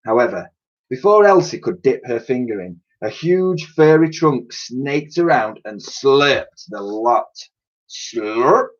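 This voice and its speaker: Male storybook reader for children, expressive narration, slightly muffled lo-fi sound. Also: medium pitch, measured pace. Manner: expressive, acts out characters' dialogue. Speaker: teacher reading aloud to pupils.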